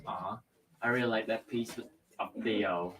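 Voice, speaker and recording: A man's voice: fairly low pitch, steady tone, moderate pace. A man chatting casually on a live art stream, rambling and repeating himself as he tells stories. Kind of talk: casual chat while painting. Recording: distant mic with background noise.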